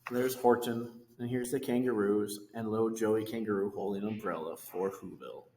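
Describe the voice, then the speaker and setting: A man's voice: on the low side, fairly even intonation, normal speed, darkish tone, a little hiss or hum. A man reading a children's storybook aloud, with casual asides about the pictures. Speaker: reader of a children's book. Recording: echoey room with long reverb.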